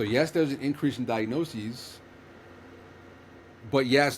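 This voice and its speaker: A man's voice: on the low side, a little hiss or hum. A man hosting a casual chat, explaining earnestly while rambling and restarting his sentences.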